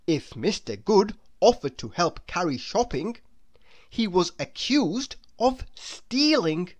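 A woman reads a children's story aloud as narrator. Her voice is slightly low and extremely animated in melody, and she takes her time with a slow, deliberate, expressive delivery.

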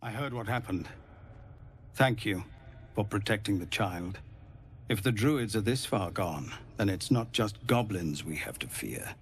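A weary refugee leader in a fantasy game, grave and courteous. He speaks with measured seriousness in a lowish voice over faint background noise. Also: expressive. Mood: grateful, grave. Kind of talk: video game dialogue acting.

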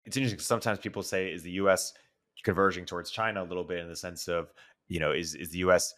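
Male interviewer, thirties, deep register, rapid casual conversational delivery. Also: steady tone, a bit bright. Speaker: interviewer, host. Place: living room, indoors. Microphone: desk microphone with headphones.

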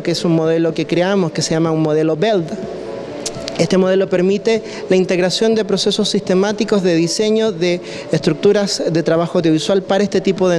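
A man, an audiovisual communicator giving a measured, explanatory interview answer into handheld reporter mics. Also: high-pitched, darkish tone, slight background noise. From Chile. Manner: measured, long explanatory sentences. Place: indoors by a stairwell with plants. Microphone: handheld reporter mics held up close.

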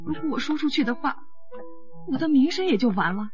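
A woman's voice: high-pitched, lively intonation, a little fast, muffled recording, faint music behind. A woman playing a distressed, proud young female pop idol in a radio drama. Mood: pleading. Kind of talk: Mandarin radio drama acting.

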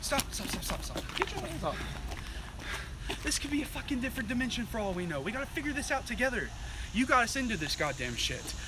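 A man acts as an insistent, profane young friend in an amateur comedy skit, pushing and pleading with urgency. His voice is extremely high for a man and lively, recorded on a noisy location. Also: fast talker. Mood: urgent, frustrated.

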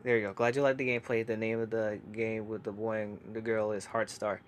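A young man reacting to viewer comments in casual chat from his laundry room, keeping quiet so his family can sleep, with many fillers and restarts. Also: lowish voice, level tone, a little fast, a little hiss or hum. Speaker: YouTuber reading comments.

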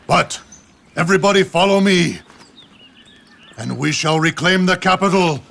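A fervent, righteous lord of ancient war in a game cutscene. His voice is fairly high, sharp and gritty, and he declaims with passion. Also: unhurried, vocal fry, exaggerated voice. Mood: righteous anger. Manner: impassioned, declamatory. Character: righteous, fervent male ancient Chinese lord rallying followers. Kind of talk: dramatic game cutscene acting.

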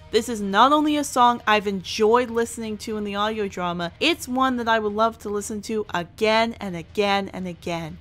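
A woman delivers a scripted review as the host. Her pitch is average for a woman, and she phrases things formally with earnest emphasis. Music plays faintly behind her. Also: fairly bright tone. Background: background music.

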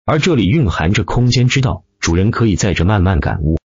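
A man's voice: fairly low pitch, very animated, brisk, slightly rough, dry recording, muffled recording, exaggerated voice. A man voicing a cheerful, obedient little girl spirit of a magic mirror in an audiobook. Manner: smiling, obedient, explanatory.

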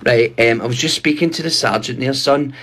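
A man in his thirties speaks at a middling pitch, rambling and hesitating as he puts on an official air for a prank call. He is talking into a phone in a small bedroom. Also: fairly quick, fairly bright tone, a little hiss or hum. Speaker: prank caller. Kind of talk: prank phone call posing as army recruiter. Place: small bright bedroom indoors.

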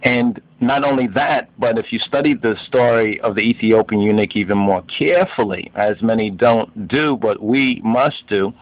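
A man giving an informal religious history lecture in a didactic, halting way, repeating and glossing his terms as he goes. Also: fairly low pitch, dry recording. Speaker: video narrator and lay religious teacher. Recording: dull lo-fi home recording.

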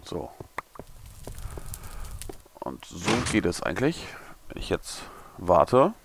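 A young man, a little hungover and hoarse, mumbling and thinking aloud as he builds in a game tutorial. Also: low-pitched, big pitch swings, slow pace, heavy background noise. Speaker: gaming tutorial creator. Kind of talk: casual commentary while building.

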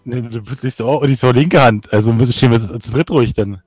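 Male gamer, low and husky, quick dry questioning delivery, close dry sound. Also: a little mellow, no echo, soft background music. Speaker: role-play game player. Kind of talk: casual in-character banter.

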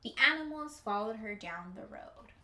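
A young woman reading a picture book aloud as a teacher to children, with deliberate, emphatic storytelling. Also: on the high side, animated, sharp tone, a little hiss or hum. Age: young adult. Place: indoor room with bookshelves. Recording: roomy indoor echo.

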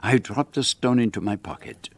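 A man narrating a radio mystery play in a measured, calm way. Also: fairly low pitch, dry recording, lightly acted. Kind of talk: scripted narration.

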